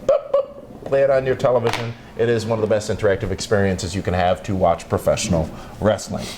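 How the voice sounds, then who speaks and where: A man's voice: steady tone, fairly quick, a crowd in the background. Teasing male wrestling talk host. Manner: teasing, roasts his co-host, pitches the show to viewers. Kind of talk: broadcast wrestling talk, news and banter.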